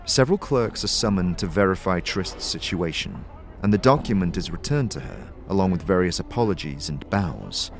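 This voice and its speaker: A man narrating a fantasy audio drama from a script in a dramatic storytelling style. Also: low-pitched.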